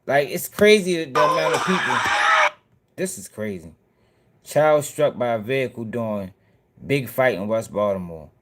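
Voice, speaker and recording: Male commentary host, blunt and profane, mid-pitched, addresses viewers directly. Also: lively intonation, moderate pace, a bit bright, a little hiss or hum. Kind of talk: casual reaction commentary.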